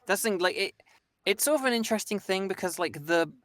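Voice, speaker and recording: A man's voice: high-pitched, expressive, fast talker, fairly bright tone, dry recording, studio quality. A man voice actor, a guest on a stream, speaking thoughtfully and hedging with many "kind of"s and "sort of"s. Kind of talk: casual conversation.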